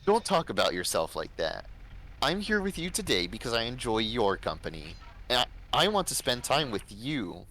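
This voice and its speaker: A man voicing a gentle, earnest young woman in love in a fan story, speaking tenderly and a little haltingly. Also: medium pitch, big pitch swings, slight background noise, pitched above the performer's own voice. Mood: earnest, affectionate.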